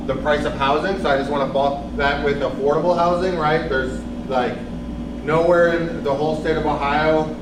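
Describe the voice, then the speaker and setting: A man speaking to a live audience without a microphone, heard from across an echoey room. His delivery is loose and conversational, full of fillers, and he keeps asking the crowd questions. A loud hiss sits under the whole recording. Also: a little high, far from the mic. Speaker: speaker on homelessness. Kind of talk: interactive talk to an audience. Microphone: no microphone, room recording from a distance.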